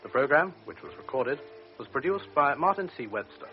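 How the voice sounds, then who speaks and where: A man's voice: fairly high pitch, moderate pace. Formal male radio announcer. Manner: crisp, formal, even. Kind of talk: formal closing credits announcement. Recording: dull old radio recording with faint music.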